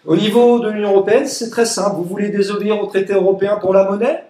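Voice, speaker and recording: A man's voice: high voice, fairly even intonation, quick pace, dark tone. A man of Egyptian origin giving an emphatic, sarcastic political speech at a public meeting, mocking his opponents. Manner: emphatic, sarcastic, quotes opponents in mock voices. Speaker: political speaker. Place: meeting room. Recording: echoey room.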